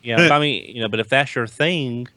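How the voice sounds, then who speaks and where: A man in a casual panel chat, speaking in a halting way and repeating words for emphasis. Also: medium pitch, pushed and bright, no room sound. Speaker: podcast panelist.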